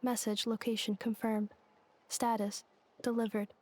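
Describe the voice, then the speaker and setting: A woman's voice: fairly high pitch, flat delivery, unhurried, background noise, lightly acted. A woman voicing an emotionless robot messenger in a video game, speaking in clipped, detached fragments. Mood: flat, mechanical. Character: emotionless female robot messenger with missing memories.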